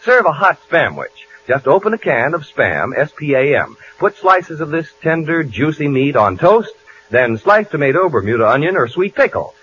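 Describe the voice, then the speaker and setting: A man's voice: on the high side, sing-song, normal speed, fairly bright tone, a little hiss or hum. A man announcing and playing comedy on 1940s radio in a bouncy, salesman-like manner. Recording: muffled 1940s radio broadcast.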